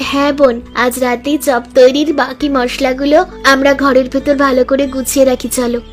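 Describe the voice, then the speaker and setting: A woman's voice: high-pitched, level tone, brisk, soft background music, natural voice. A woman voicing an earnest, sisterly, hopeful village housewife in a scripted cartoon story. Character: hardworking, hopeful village housewives starting a food business. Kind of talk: scripted animated story dialogue.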